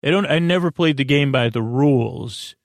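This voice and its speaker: A man hosting a bedtime podcast in a meandering, halting monologue, often drifting off his train of thought. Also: medium pitch, expressive, gravelly, no room sound, studio quality. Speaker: podcast host.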